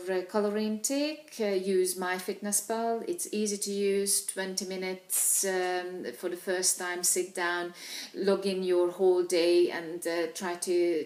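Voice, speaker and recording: A woman's voice: fairly low pitch, a little mellow. A woman coach whose first language is Hungarian, giving informal nutrition advice to camera with many fillers and restarts. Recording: echoey room.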